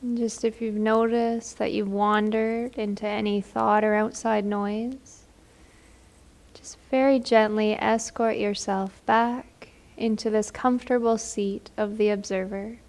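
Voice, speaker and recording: A woman leads a guided meditation at a very slow pace. Her delivery is gentle and soothing, with little rise or fall in a mid-range voice. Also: mid-range voice, little pitch movement.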